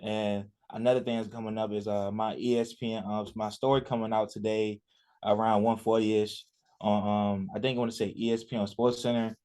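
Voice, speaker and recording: Fast-talking young man, halting and filler-heavy. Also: on the low side, flat delivery, warm tone. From Richmond, Virginia. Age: 20s. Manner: rambling, many fillers and restarts. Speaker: college athlete guest. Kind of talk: casual radio interview.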